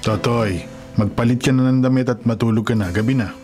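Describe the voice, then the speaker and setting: A man's voice: medium pitch, a little fast, music in the background, natural voice. An actor playing a caring, concerned elderly village grandfather with a Filipino accent in a radio drama.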